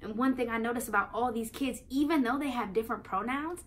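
A young woman with an American accent hosts a storybook read-aloud for little kids. Her voice sits on the high side, and she speaks expressively and playfully, moving between teacherly explanation and sing-song reading. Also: fast, a little hiss or hum. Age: young adult. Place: small living room, indoors on a couch.

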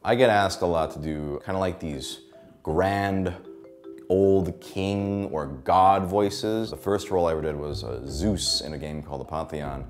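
A young man who works as a voice actor, talking to camera about his craft in a relaxed, animated way and breaking into voices mid-sentence. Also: low-pitched, measured pace, soft background music. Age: young adult. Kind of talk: explaining voice acting to camera. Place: indoor studio with plain backdrop.

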